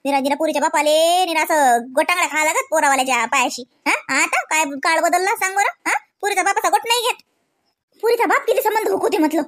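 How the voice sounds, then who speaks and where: A high-pitched, chatty village auntie from a comedy cartoon, acted out by a woman. She talks in rustic Varhadi Marathi from Vidarbha. Her delivery is gossipy and full of exclamations. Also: lively intonation, quick pace, fairly bright tone. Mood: complaining.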